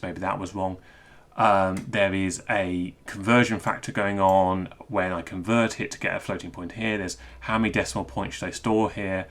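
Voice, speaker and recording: A man giving an informal technical screencast walkthrough, thinking aloud in a halting, self-interrupting way. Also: low voice, a bit bright. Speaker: presenter explaining technical material.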